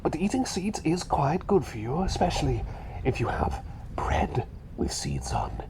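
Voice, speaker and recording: A man voicing a fussy, chatty little bird who is really a doctor, rambling and repeating himself politely in a hushed, breathy ASMR roleplay. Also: fairly high pitch, wildly swooping pitch, a little slow, crowd noise, heavily acted. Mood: musing, insistent. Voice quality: breathy, half-whispered.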